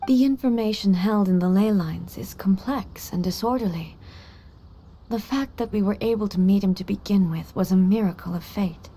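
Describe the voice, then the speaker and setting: A woman voicing a composed, regal female deity ruler in a video game, speaking in a measured, formal way. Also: medium pitch, slight background noise, close to an everyday voice. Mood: serene. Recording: game audio with soft music.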